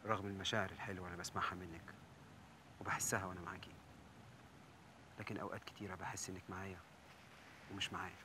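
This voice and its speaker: A man's voice: low-pitched, level tone, fast, long pauses, noisy background, lightly acted. An actor playing a troubled young man who earnestly confides his doubts to his beloved, with an Egyptian accent. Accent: Egyptian Arabic. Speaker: Youssef.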